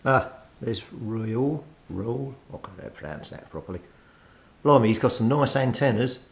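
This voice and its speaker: A man's voice: a little slow, gravelly, husky. A British man giving a casual how-to commentary, thinking aloud and muttering informally to himself as he works. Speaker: hobbyist video host. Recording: steady background noise with low rumble.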